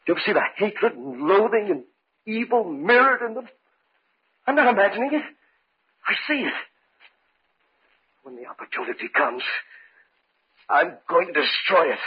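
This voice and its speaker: An actor playing a haunted, terrified, obsessed man with an intense husky voice in old-time radio horror style. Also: high-pitched, big pitch swings, moderate pace, long pauses, full character voice. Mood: frantic resolve. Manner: intense, dramatic. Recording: muffled vintage radio recording.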